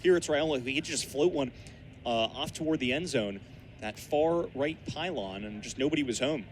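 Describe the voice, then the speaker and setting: A man's voice: mid-range voice, normal speed. A young man with an American accent, giving live color analysis on a football radio broadcast. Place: outdoor college football stadium. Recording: outdoor stadium broadcast, ambient background noise.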